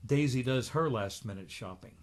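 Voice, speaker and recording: A man's voice: mid-range voice, normal speed. Man reading a comic story aloud. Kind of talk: comic book read aloud.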